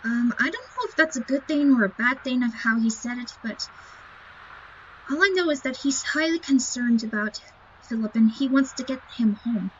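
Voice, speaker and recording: High-pitched woman on a muffled, noisy mic. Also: lively intonation, normal speed. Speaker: gamer narrating a playthrough. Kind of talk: casual game commentary. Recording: muffled lo-fi mic with clear noise.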